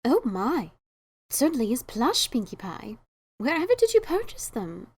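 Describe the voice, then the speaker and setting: A woman voicing a refined, genteel young cartoon pony with a dainty, ladylike, drawn-out delivery in a dramatic reading. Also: sits high, wildly swooping pitch, a little mellow, slightly rough, exaggerated voice. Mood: delighted, curious. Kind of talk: fan-fiction dramatic reading.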